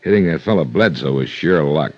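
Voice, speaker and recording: A man acting a plain-spoken, rueful highway police lieutenant in a 1950s radio drama, on a dull vintage recording. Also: expressive, fairly quick. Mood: rueful, subdued.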